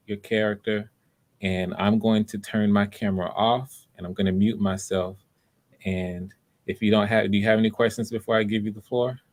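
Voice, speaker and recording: A man with a fairly low voice talks as the host of an online audition. His delivery is casual and encouraging, and he circles back to rephrase his points over a video call. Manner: encouraging, repeats and rephrases himself. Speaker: writer-director hosting live auditions. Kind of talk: casual unscripted hosting talk.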